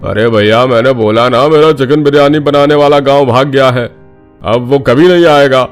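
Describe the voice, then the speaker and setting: A man's voice: mid-range voice, normal speed, clean tone, faint music behind, natural voice. A man playing a harried but good-hearted biryani cart owner in a cartoon. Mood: exasperated.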